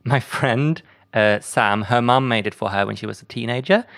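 A man's voice: mid-range voice, normal speed, creaky, a bit bright. A man chatting casually as a comedian guest in a radio interview, with playful, dry humour. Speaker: comedian guest promoting a show.

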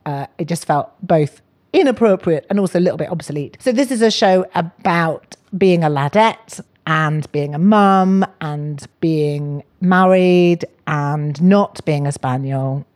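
A woman's voice: deep voice, a little slow, a little mellow. A middle-aged woman, a comedian guest talking casually with wry self-mockery. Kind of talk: casual, chatty interview.

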